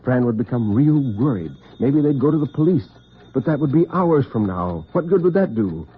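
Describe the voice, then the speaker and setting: Husky male suspense narrator on tinny old radio. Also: medium pitch, lively intonation. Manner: deliberate, literary, intense. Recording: tinny, band-limited vintage radio recording.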